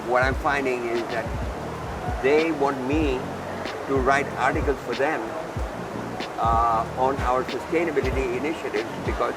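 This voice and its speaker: A man speaks at a middle pitch with loud music running beneath him. He sounds like an executive in an interview, setting out points one by one in an earnest, deliberate way. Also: steady tone, poor audio. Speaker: company executive being interviewed. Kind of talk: interview, explaining at length. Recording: music laid under the voice. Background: background music.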